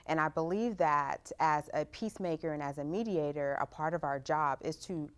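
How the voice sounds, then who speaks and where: A woman giving measured, articulate explanations as a guest in a panel interview. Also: deep voice.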